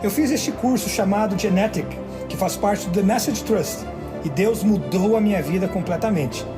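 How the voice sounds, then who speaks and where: High-voiced man over video music. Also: moderate pace. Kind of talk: testimony voice-over in a ministry video.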